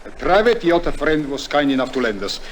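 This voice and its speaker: An actor playing a courteous but menacing general in an old radio drama, formal and coolly threatening. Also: male voice, mid-range voice, big pitch swings, fairly quick, close to an everyday voice. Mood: smooth, commanding. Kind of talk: 1950s radio drama acting. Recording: noisy vintage radio recording.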